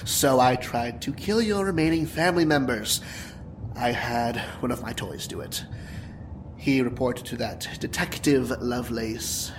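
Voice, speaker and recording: A man's voice: mid-range voice, noisy background. An actor playing a cocky, sadistic male crime lord in an audio drama, theatrical and menacing with a taunting manner. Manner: theatrical, menacing, punctuated by mocking laughs.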